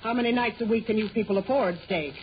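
A woman's voice: on the high side, fast, close to an everyday voice. A curt, demanding older aunt played by a woman finds fault in old radio sitcom style. The sound is dull and a little noisy. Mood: curt, disapproving. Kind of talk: 1940s radio sitcom acting. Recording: muffled, noisy 1940 radio recording.

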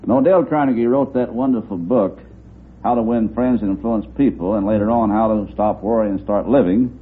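A man's voice: lowish voice, brisk. A middle-aged man from Missouri giving chatty answers as a contestant on a vintage radio quiz. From Missouri, United States. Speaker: quiz show contestant, training course manager. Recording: tinny old radio with audience noise.

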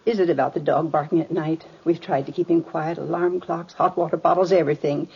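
An actress playing a gentle, grieving mother in her mid sixties in a 1950s radio drama, polite, hesitant and emotional. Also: low voice, fairly even intonation, brisk, gravelly, husky, exaggerated voice. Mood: polite, welcoming. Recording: muffled vintage radio recording.

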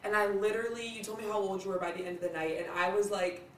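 A woman hosting a podcast, excitable and chatty, who laughs often and keeps saying "literally". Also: medium pitch, quick pace, a little hiss or hum. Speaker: podcast host. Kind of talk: casual podcast interview. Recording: roomy, somewhat distant mic.

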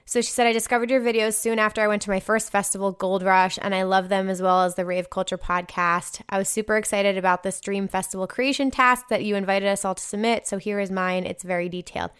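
A woman's voice: mid-range voice, steady tone, fast talker, few pauses, a bit bright, clear, resonant tone. A young woman with a New Jersey American accent, a podcast host reading listener emails aloud and reacting in an effusive, slangy, casual way with some swearing. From New Jersey, United States.

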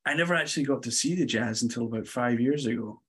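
A man's voice: mid-range voice, fast talker, breathy edge. A Scottish man in his forties with a Glasgow accent, a podcast guest casually telling stories about himself. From Scotland. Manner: relaxed, chatty, laughs lightly. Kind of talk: casual interview storytelling.

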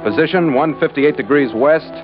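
An actor playing a tough, suspicious sea captain, narrating in a clipped, terse 1940s radio style. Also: male voice, fairly high pitch, moderate pace, natural voice. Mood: terse, businesslike. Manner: clipped, hard-boiled, terse. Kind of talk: 1940s radio acting, first-person narration of a ship's log. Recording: dull vintage radio recording, loud music.

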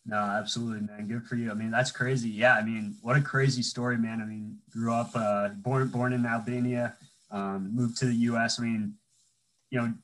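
A man's voice: medium pitch, steady tone, normal speed, a bit bright, rough recording. Young guy hosting, rambly, lots of um and like, says man a lot. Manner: rambling, filler-heavy, says "man" often. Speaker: interview host. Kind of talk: casual interview.

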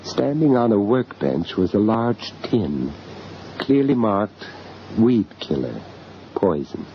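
A dull, noisy old radio drama recording of an anxious British gentleman art dealer. His voice is on the low side, and he tells his horror story gravely and hesitantly, heavy with dread. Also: big pitch swings, full character voice. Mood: mounting dread. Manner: grave, hesitant, dramatic delivery with halting repeats.

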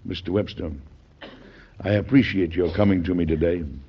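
An actor playing a genial, aging American president in an old radio drama, hesitant and earnest, with a husky, gravelly voice. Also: male voice, deep voice, fairly even intonation, normal speed. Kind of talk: old-time radio drama acting and narration. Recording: dull, lo-fi old radio recording.

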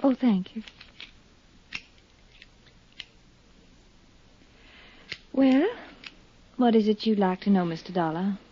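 A woman's voice: mid-range voice, animated, normal speed, husky. An actress playing a poised, earnest, grieving young woman in a 1950s radio drama, confiding yet firm. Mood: polite. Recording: dull, dry 1950s radio recording.

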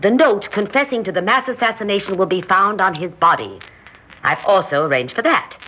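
An actress in an old-time radio drama playing an imperious, grandiose, scheming society hostess with a commanding, theatrical delivery. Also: female voice, medium pitch, lively intonation, fairly quick, slightly rough. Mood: cold, calculating. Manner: theatrical, commanding, self-assured. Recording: dull, muffled old radio recording.

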